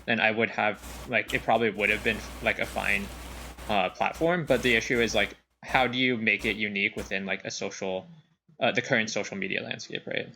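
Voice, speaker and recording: A young man co-hosting a casual chat podcast, talking in halting restarts full of "like" fillers. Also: fairly low pitch, steady tone, gravelly, pushed and bright, a little hiss or hum. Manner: hesitant, many restarts and "like" fillers. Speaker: podcast co-host.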